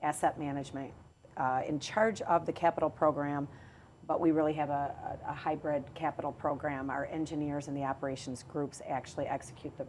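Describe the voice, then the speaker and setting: A middle-aged woman, an executive telling her career story in a podcast interview, measured and often pausing to restart. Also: deep voice, flat delivery, darkish tone, a little hiss or hum. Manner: measured, with frequent hesitations and restarts. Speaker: water authority chief executive.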